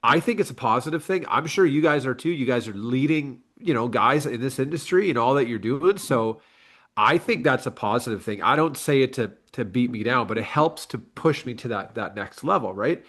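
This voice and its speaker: A man in his late thirties speaks as a guest in a relaxed interview. His pitch is middling, and he talks in a candid, reflective, earnest way, like an athlete and coach chatting informally. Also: moderate pace. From Canada. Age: late 30s. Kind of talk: casual interview chat.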